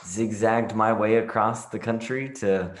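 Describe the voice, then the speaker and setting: Young man from Tennessee, upbeat, lower voice, echoey sound. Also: fairly even intonation, unhurried. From Nashville, Tennessee. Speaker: graduate composition student.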